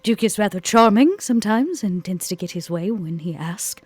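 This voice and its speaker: A woman playing a poised, calculating vampire lady in a role-play podcast, speaking formally and dryly with a husky tone. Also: medium pitch, lively intonation, no room sound, close to an everyday voice. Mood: cool, dry. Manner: formal, measured, dry. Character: poised, disdainful, high-heeled vampire woman, coolly calculating. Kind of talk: in-character tabletop role-play.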